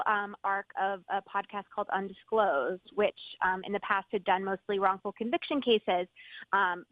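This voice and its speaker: A woman podcast host joining a roundtable discussion, speaking in a measured way with frequent fillers. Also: medium pitch, no room sound. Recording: tinny phone-like line.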